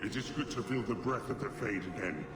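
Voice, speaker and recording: An actor playing a stern, commanding spirit of justice in a video game, formal and declamatory. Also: male voice, fairly low pitch, a little fast, lightly acted. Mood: solemn, urgent. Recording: echoey, distant, heavy noise behind.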